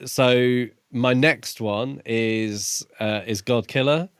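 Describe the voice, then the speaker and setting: A man co-hosting a podcast, discussing books casually in a measured, hesitant way, as if thinking aloud. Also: mid-range voice, smooth, no echo, studio quality. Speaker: podcast co-host.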